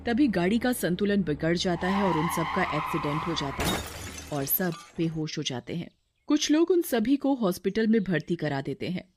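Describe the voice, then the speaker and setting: A woman's voice: lowish voice, a little mellow. A woman reading scripted narration as the storyteller of a moral tale.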